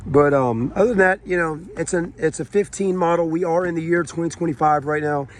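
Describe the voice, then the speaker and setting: A man with a sore throat and a raspy voice, giving a casual, rambling walkaround tour of a car for sale on a dealership lot. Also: fairly high pitch, a little fast. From South Carolina. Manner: rambling and chatty, many fillers and asides.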